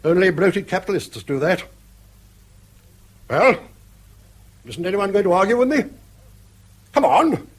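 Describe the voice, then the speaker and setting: Blustering old British grandfather, husky, swooping. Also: sits high, big pitch swings, measured pace, pauses often, full character voice. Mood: boisterous, provoking. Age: older. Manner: blustering, sardonic, garrulous. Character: bankrupt, blustering, needling old grandfather, unashamed and argumentative. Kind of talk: British radio drama acting.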